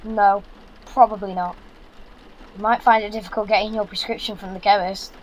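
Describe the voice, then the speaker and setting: High-voiced sarcastic Yorkshire teenage lad, acted by a young man. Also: level tone, normal speed, slight background noise, lightly acted, poor audio. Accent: Yorkshire English. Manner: sarcastic, blunt. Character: sarcastic, exasperated fifteen-year-old son, keen gamer. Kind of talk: naturalistic audio drama acting.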